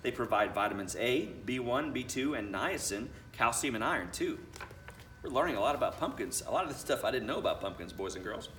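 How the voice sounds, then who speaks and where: A man reads a children's book aloud as a school principal would, expressive and chatty with his young listeners. His pitch moves around a lot, and he sounds slightly away from the mic in an echoey room with faint noise. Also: fairly quick, far from the mic.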